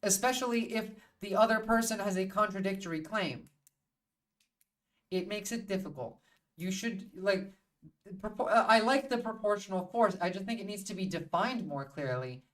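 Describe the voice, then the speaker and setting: A woman's voice: medium pitch, normal speed, recorded from a distance. A woman hosting a livestream in casual, opinionated chat, swearing freely and bluntly as she thinks aloud. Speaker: livestream host.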